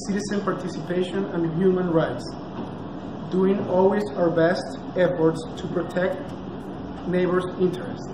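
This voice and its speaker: A Venezuelan man with a high, flat voice reads a prepared speech off a clipboard, pausing often on uh and restarting words. He stands in a small, echoey cafe, caught by a camera some distance off, with clear room noise behind him. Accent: Venezuelan Spanish. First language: Spanish. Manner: careful, halting, reads from a clipboard, frequent uh and restarts. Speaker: nonprofit founder giving a launch speech. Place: small cafe with big street windows, indoors. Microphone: far camera.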